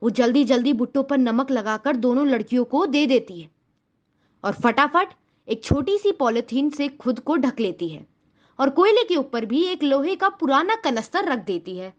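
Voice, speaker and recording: High-pitched woman storyteller, muffled audio. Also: very animated, normal speed. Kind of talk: scripted children's story narration.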